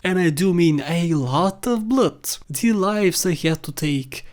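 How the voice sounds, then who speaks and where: A man's voice: sits high, slow, darkish tone. A man hosting a casual video game countdown, commenting in an informal, jokey and emphatic way. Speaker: video host.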